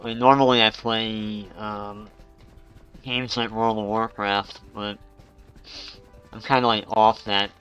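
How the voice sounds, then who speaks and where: A man in his forties with a fairly low, clean voice chats casually on a game stream. He rambles and thinks out loud, with music playing underneath. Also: flat delivery, slow, pauses often, clear, resonant tone, muffled recording. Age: 40s. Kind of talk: casual live-stream chat while gaming.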